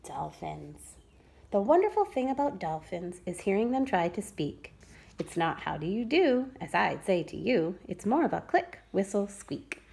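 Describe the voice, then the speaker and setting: A woman preschool teacher reading a rhyming picture book aloud to children with expressive storytelling. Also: mid-range voice, a little slow, creaky, husky. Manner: expressive, sing-song storytelling.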